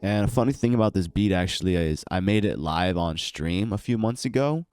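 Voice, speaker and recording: A man's voice: low-pitched, animated, brisk, a little mellow, clear, resonant tone, faint music behind. A young man with a stuffy, congested voice from allergies, casually walking through how he produced a beat in a home studio. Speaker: beat producer and channel host. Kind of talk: casual tutorial walkthrough.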